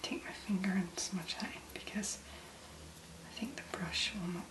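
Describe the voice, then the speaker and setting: A woman speaking in a breathy, whispery voice, gently and unhurriedly murmuring step by step through a soft-spoken ASMR tutorial as its host. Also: mid-range voice, steady tone, moderate pace, echoey room.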